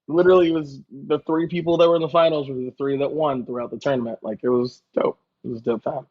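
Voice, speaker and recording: Guy chef just chatting, jokey and self-deprecating, medium pitch, bit muffled lo-fi sound. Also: fairly even intonation, quick pace. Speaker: guest chef. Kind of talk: casual conversational storytelling.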